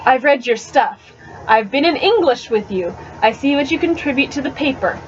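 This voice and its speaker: A woman's voice: high-pitched, expressive, normal speed. A woman reading the part of a smug, pushy teenage boy teasing his friend in a storybook, on a webcam recording. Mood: blunt, teasing. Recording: dull, noisy webcam audio.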